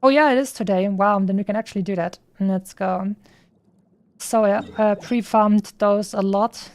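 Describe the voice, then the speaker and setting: A woman's voice: medium pitch, fairly even intonation, moderate pace, darkish tone. A woman streamer giving casual, chatty gameplay commentary, rambling and thinking aloud as she goes.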